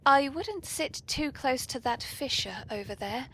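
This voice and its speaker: Podcast hostess: British woman, higher register, breathy and husky, playfully polite and genteel. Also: lively intonation, a little slow, bright, forceful tone. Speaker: podcast host. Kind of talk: whimsical in-character hosting and polite conversation.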